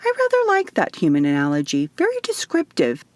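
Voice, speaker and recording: A woman voicing a bubbly, excitable, naively earnest otherworldly woman in an audiobook, gushing and emphatic. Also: sits low, brisk, no echo. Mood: excited recounting.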